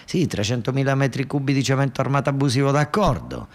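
A man's voice: mid-range voice, fast talker. A man with a southern Italian accent telling a comic theatrical monologue in a colloquial, repetitive, dialect-tinged way. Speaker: storyteller and stage actor.

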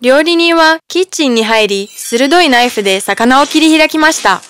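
High-voiced woman narrating a fairy tale. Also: lively intonation, moderate pace, fairly bright tone, slight background noise. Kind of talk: scripted children's storybook narration. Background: music.

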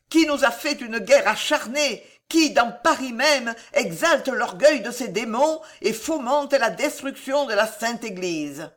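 Fierce imperious queen mother, acted by a narrator, hoarse, high-pitched, declamatory, exalted, period novel audiobook. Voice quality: hoarse, gravelly. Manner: declamatory, loud, commanding.

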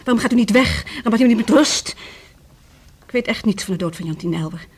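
A woman's voice: a little high, expressive, brisk, breathy edge. An actress in a Dutch radio play as a proud, defiant woman being questioned by police, speaking formally with a sardonic edge. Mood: defiant, irritated.

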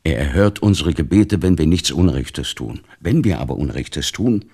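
An actor playing a devout, stern, weary older father in a literary radio play, speaking gravely with a gravelly, husky voice. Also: a bass voice, expressive, normal speed, a little mellow, full character voice. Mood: bitter, firm.

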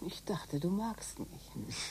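An actress in a radio play playing a cool, insistent woman with a husky, breathy voice. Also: fairly low pitch, big pitch swings, takes it slowly, mellow, whispered, heavily acted. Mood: coaxing intimacy.

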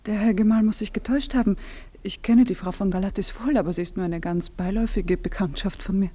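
An actress playing an evasive young woman who drops to hushed whispers. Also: medium pitch, fast talker, natural voice. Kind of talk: radio play acting.

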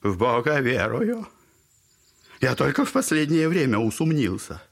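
A man's voice: mid-range voice, sing-song, unhurried, vocal fry, full character voice. An actor playing a garrulous, clownish old father in an audio drama, fawning and theatrical, rambling on with mock politeness. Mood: mock-confessional.

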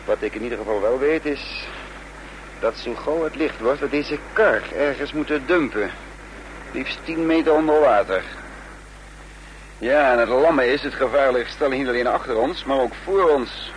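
A man acting in a radio drama as a grave secret agent on the run, brooding and deliberate as he speaks and narrates. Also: medium pitch, lightly acted. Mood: tense, planning. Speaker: lead actor and narrator. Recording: noisy old radio play recording.

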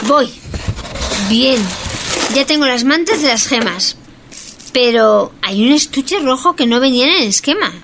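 A woman's voice: high-pitched, expressive, a little slow, pauses often, fairly bright tone, slight background noise, exaggerated voice. An actress with an accent from Spain playing a firm, principled female thief in an audio drama. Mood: focused.

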